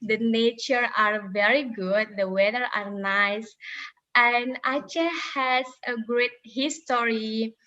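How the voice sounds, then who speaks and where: A young woman from Indonesia with an Indonesian accent, answering interview questions in English with frequent pauses and fillers. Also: on the high side, steady tone, bright, forceful tone, dull audio. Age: 20s. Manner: hesitant, many fillers and restarts. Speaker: interview guest, former intern.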